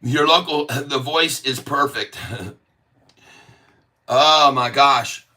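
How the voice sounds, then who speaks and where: A man's voice: mid-range voice, fairly even intonation, slow pace, bright, forceful tone, far from the mic. A man chatting on a livestream, reading viewers' comments aloud, joking around and laughing a lot. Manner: jokey, laughs often, mock accents. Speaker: livestream host reading chat. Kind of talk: casual livestream chat.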